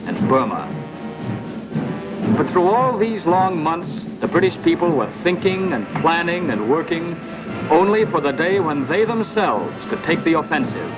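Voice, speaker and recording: A man's voice: on the high side, sing-song, a little slow. An American man narrating a wartime documentary from a script in a dramatic, emphatic and solemn 1940s style. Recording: muffled 1940s film soundtrack, music behind.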